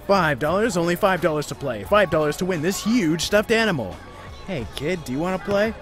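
A man's voice: high voice, animated, brisk. Pushy carnival barker played by a man over music. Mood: coaxing. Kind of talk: audio drama acting. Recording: loud fairground music and crowd.

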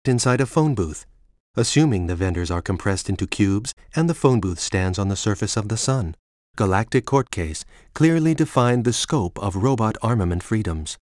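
A man voicing a calm, implacable computer AI for a game, speaking in a formal, measured way. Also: fairly low pitch, no room sound, studio quality, close to an everyday voice. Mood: cold, quizzing. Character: calm, implacable male computer AI guarding a facility.

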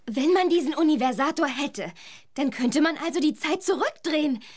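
A woman's voice: high-pitched, lively intonation, moderate pace, a little mellow. A woman playing a determined, spirited young girl adventurer in a children's audio drama, earnest and urgent.

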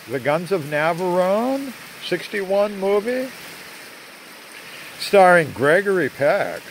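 Slow, halting older man outdoors. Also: on the high side, slight background noise. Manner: slow, halting, full of uh fillers. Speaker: vlogger. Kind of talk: rambling outdoor narration. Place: outdoors among trees. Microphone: phone at arm's length.

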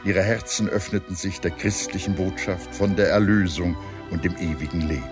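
A man reading scripted historical narration as a documentary narrator, in a measured, formal manner. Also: low-pitched, fairly even intonation. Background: music behind the narration.

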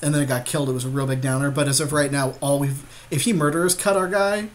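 Man chatting informally, mid-pitched voice. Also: fairly even intonation, quick pace, slight background noise. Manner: conversational, informal, frequent asides. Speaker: podcast co-host playing a card game. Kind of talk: casual chat.

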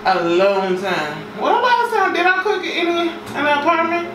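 A woman's voice: very high-pitched, normal speed, noisy background. A woman in her thirties, chatting casually as she cooks at home. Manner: chatty, relaxed, folksy phrases. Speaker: home cooking vlogger. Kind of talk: casual cooking demo. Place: home kitchen, indoors. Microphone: far camera mic. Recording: roomy kitchen, distant mic, clattering dishes.

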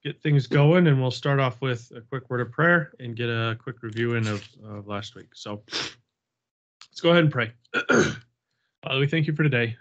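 A man's voice: mid-range voice, normal speed. A man leading a Bible study on a video call, praying earnestly and chatting casually, with a slight cold. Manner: earnest, repetitive prayer cadence. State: has a cold, sniffling. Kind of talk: prayer and casual group talk. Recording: dull lo-fi video call audio.

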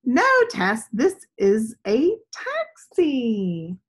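A woman's voice: fairly high pitch, wildly swooping pitch, extremely slow, breathy edge, heavily acted. A woman voicing a patient child in a picture book, answering in an emphatic, instructive way. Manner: emphatic, instructive storybook delivery.

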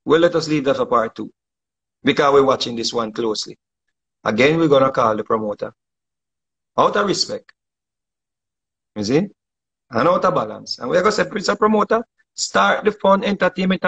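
A man's voice: mid-range voice, a bit bright, breathy edge. A man in his forties with a Jamaican accent, ranting fiercely on a phone livestream from his living room, slipping into patois. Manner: fiery, preachy, lapsing into patois. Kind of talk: animated phone livestream rant. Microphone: phone held close.

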